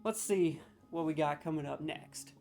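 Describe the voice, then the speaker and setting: A man's voice: on the high side, normal speed, warm tone, soft background music. A man hosting a comedic video, ranting sarcastically with mock outrage as he scores game voice lines.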